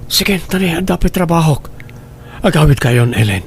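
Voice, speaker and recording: A man's voice: fairly high pitch, animated, moderate pace, vocal fry. A man playing an uneasy, evasive married father in a radio drama, speaking with an Ilocano accent. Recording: faint background noise and ambience.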